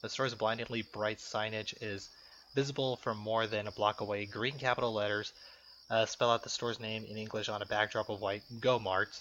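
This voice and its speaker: A man's voice: on the low side, steady tone, normal speed, a bit bright, slight background noise. A man doing casual, jokey let's play commentary, reacting aloud to a game and reading its text. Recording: muffled lo-fi mic, music behind.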